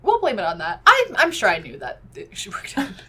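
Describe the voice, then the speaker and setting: A young woman co-hosting a casual podcast, chiming in often with fillers and quick interjections. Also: mid-range voice, wildly swooping pitch, sharp tone, husky. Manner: chatty, filler-heavy, chimes in with quick interjections. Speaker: podcast co-host. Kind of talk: casual chat.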